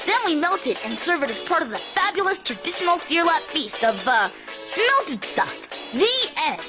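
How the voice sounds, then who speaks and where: A woman voicing an excitable young alien schoolboy in a cartoon, boastful and theatrical in delivery. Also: extremely high pitch, normal speed, over loud music. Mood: grandiose, dramatic. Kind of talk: animated cartoon acting.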